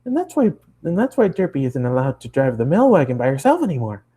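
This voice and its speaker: A man voicing a bubbly, chattering, excitable young female cartoon pony who works as a baker. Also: mid-range voice, slightly rough, pitched above the performer's own voice. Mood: cheerful chatter. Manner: rapid-fire, gushing, exclamatory chatter.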